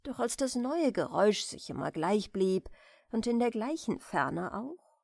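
A woman reading a bedtime story aloud in a soft, gentle, measured storytelling manner. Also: mid-range voice, sing-song, gravelly, no echo, clean studio sound. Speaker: audiobook reader of bedtime stories.

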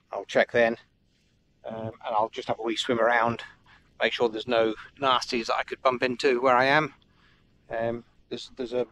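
Man on a sailboat, engine rumble. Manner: relaxed, rambling, many ums and pauses. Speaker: solo sailing vlogger. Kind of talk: casual running commentary to camera. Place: outdoors on a sailboat on open water. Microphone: action camera on the boat. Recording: wind and boat engine rumble behind.

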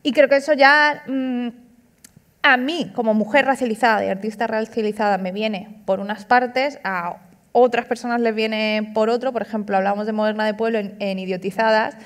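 A woman's voice: fairly high pitch, steady tone, moderate pace. A woman in her thirties, an author, speaking casually and animatedly at an onstage book talk, tossing in frequent tag questions. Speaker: author and comic artist. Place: large auditorium stage. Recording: long hall reverb.

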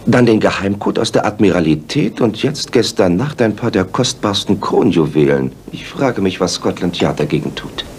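An actor playing an earnest, polite gentleman sidekick who also narrates in an audio drama. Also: deep voice, animated, normal speed, few pauses, a little hiss or hum. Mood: indignant.

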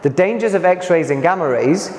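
A man's voice: high-pitched, lively intonation, normal speed, darkish tone. A man in his forties teaching a classroom lesson, explaining emphatically and repeating key points. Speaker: physics teacher. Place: indoor classroom beside a presentation screen. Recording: echoey room, clear background noise.

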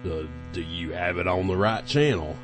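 A man's voice: deep voice, normal speed, faint music behind, lightly acted. A man playing a laid-back, bumbling, easily distracted technician in a comedic audio drama, rambling casually.

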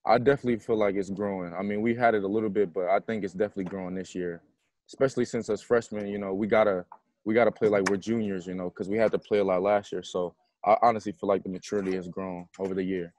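A man's voice: lowish voice, steady tone, fast, darkish tone, a little hiss or hum. A young man, a college basketball player, giving informal, plain-spoken answers at a post-game press conference. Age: young adult. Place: indoor basketball arena, courtside. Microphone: earphone headset on a video call.

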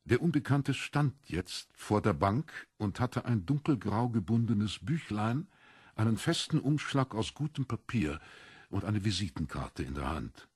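Low gravel-rough guy reading a novel as narrator, measured, super dry recording. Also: very animated, darkish tone, no room sound. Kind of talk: scripted literary narration.

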